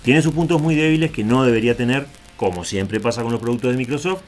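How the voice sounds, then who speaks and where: A man's voice: mid-range voice, quick pace, a little hiss or hum. A man from Argentina, raised in Uruguay, with a Rioplatense accent, casually talking through a screen-share tutorial with frequent asides and tag questions. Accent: Rioplatense Spanish. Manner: chatty, digressive, checks in with "¿tá?". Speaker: tech video host.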